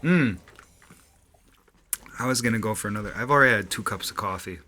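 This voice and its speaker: Loud, bright-voiced man chatting. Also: on the low side, fairly quick. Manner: loud, rambling, swearing. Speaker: podcast co-host and singer. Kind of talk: casual chat.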